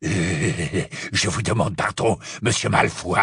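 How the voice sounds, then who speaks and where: A man voicing a sly male werewolf with a rasping, gruff voice and slow insolence, in an audiobook story. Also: low-pitched, fairly bright tone, dry recording, clean studio sound, pitched below the performer's own voice, full character voice. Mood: insolent.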